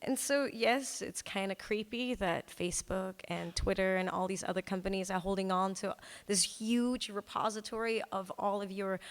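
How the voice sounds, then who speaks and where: A woman giving a talk as a presenter, conversational and informal, with casual asides to her listeners. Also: medium pitch. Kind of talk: conference talk presenting a storytelling project. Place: indoor presentation hall. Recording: echoey hall with long reverb.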